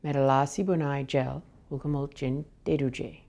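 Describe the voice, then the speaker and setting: A woman in her thirties leading a language lesson, speaking each phrase slowly and deliberately for learners to repeat. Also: a bass voice, steady tone, warm tone. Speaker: language teacher. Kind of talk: slow, clear language lesson with repetition drills. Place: indoors, wood-panelled room.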